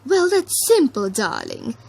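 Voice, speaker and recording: A woman's voice: on the high side, huge pitch swings, takes it slowly, gravelly, darkish tone, husky, full character voice, poor audio. A woman voicing a refined, curious, fashion-loving female pony with a genteel manner. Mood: breezy.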